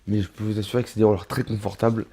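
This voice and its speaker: A man's voice: lowish voice, level tone, very fast, dark tone, husky. A man of thirty chatting casually through an unboxing vlog in his home studio, full of fillers and restarts. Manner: chatty, many fillers and restarts, addresses viewers as little rabbits. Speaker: roller skating vlogger.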